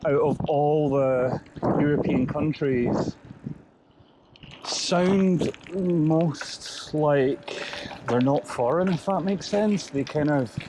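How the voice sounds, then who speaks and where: A man's voice: a little high, extremely slow, background noise. A man chatting casually and rambling with many fillers as he cycles along a coastal road. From Scotland. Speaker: vlogger cycling. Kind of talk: casual chat while riding. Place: outdoors on a bike by a coastal road.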